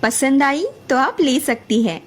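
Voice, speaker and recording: A woman's voice: high voice, slow, a little hiss or hum. A woman voicing a polite, eager young saleswoman in a cartoon story.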